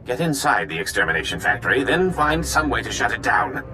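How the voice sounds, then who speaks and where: A man's voice: medium pitch, sing-song, brisk, lightly acted. A man playing an earnest resistance leader in a video game, speaking with pressing, sincere authority. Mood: urgent. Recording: music behind the voice.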